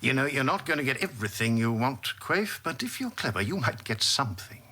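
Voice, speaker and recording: A man acting a shrewd, patronising senior British minister in a radio drama, with a husky voice and a measured, avuncular manner. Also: medium pitch, very animated, sharp tone, faint music behind. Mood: measured, wary.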